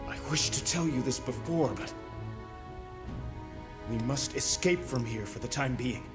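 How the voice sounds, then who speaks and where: An actor playing a noble young warrior in a video game, speaking formally with solemn, earnest conviction. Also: male voice, medium pitch, measured pace, music in the background, lightly acted. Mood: urgent. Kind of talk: dramatic game cutscene acting.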